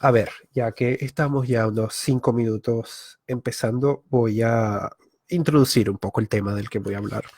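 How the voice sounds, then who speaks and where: A man from Venezuela, a YouTuber giving a live online masterclass, thinking aloud with filler pauses and frequent self-corrections. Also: medium pitch, fairly even intonation, a little mellow, breathy edge, dry recording. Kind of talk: live-streamed talk.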